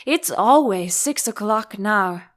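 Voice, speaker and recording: A woman's voice: mid-range voice, expressive, slow pace. A woman voicing an eccentric male hatter, theatrical and emphatic, by turns contemptuous and mournful, in a storybook reading.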